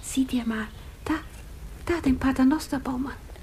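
An actress playing a dreamy, childlike, tender aunt in a 1950s radio play, speaking softly with a tendency to whisper. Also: female voice, high voice, moderate pace, gravelly, husky, full character voice. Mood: playful, excited.

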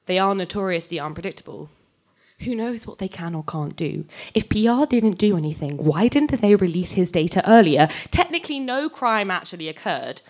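A young adult woman giving a live, scripted dramatic reading of fiction on stage into a microphone, moving between measured narration and conversational dialogue. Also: mid-range voice, lively intonation, a little mellow. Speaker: reader of a short story. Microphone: handheld-type microphone on a stand, close.

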